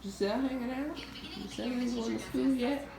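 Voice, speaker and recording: A woman's voice: on the high side, a little fast, gravelly. A woman vlogging casually, chatty and rambling, and nasal and stuffy from a cold. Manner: chatty, rambling, uses slang. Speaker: vlogger and soldier. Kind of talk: casual vlog chat.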